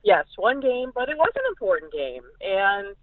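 A woman's voice: medium pitch, sing-song, moderate pace, dry recording. An American woman giving casual, emphatic sports analysis as a guest reporter, often repeating words for emphasis. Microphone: phone call. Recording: thin, narrow phone-line sound.

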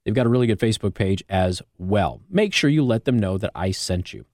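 An American man hosting talk radio, speaking in a conversational, emphatic monologue with rhetorical questions and pauses for effect. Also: on the low side, animated, darkish tone, dry recording, studio quality. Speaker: radio talk show host. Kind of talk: conservative talk radio monologue with host-read ads. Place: radio studio. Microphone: close broadcast microphone.

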